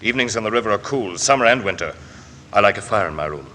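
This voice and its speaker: Sharp, prickly bachelor under questioning. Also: male voice, lowish voice, expressive, quick pace. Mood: defiant. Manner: curt, sarcastic, theatrical. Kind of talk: 1940s radio acting. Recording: old radio, hissy echoing hall.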